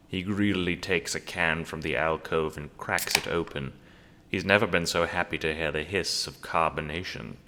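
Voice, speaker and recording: A man narrating a scripted audio drama in a theatrical, deliberate storytelling manner. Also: low voice, creaky, sharp tone, big-room sound.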